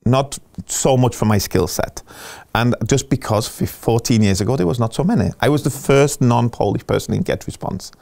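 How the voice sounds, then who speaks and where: A middle-aged man telling stories of his career in a casual interview, animated and acting out the dialogue he quotes, with frequent restarts. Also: medium pitch, normal speed, creaky. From England. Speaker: guest.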